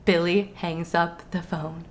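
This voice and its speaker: A woman's voice: lowish voice, flat delivery, takes it slowly, slight background noise. A young woman co-hosting a podcast, recapping a book casually and comically, with slangy paraphrase and a giggly, irreverent manner. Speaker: podcast co-host.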